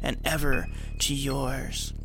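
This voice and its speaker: A man's voice: mid-range voice, level tone, takes it slowly, background noise. A man voicing a solemn female cult leader, earnestly declaiming a prayer to a demon in a dramatic reading. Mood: reverent, commanding.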